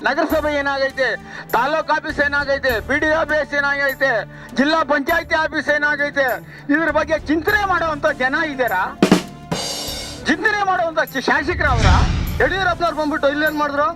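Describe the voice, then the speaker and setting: Over clearly audible music, a man with a very high voice speaks off the cuff as an aggrieved local. He has a southern Karnataka Kannada accent and an insistent, repetitive way of talking. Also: moderate pace. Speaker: local resident voicing grievances. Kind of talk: spontaneous street opinion.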